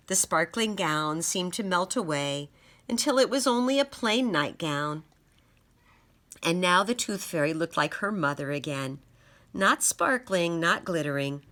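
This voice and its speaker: A woman storyteller and teacher reading aloud and explaining for children, with deliberate, emphatic phrasing. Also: on the low side, animated, a bit bright. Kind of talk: children's read-aloud and simple lesson.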